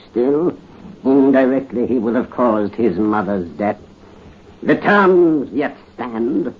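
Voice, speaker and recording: Cruel gloating french revolution villain, old muffled radio show, slow and smug, noise behind him. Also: male voice, medium pitch, lively intonation. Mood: cruel amusement. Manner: mocking, pompous, theatrical. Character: sadistic gloating male revolutionary butcher commanding soldiers. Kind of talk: 1930s radio horror acting. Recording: muffled noisy 1938 radio broadcast.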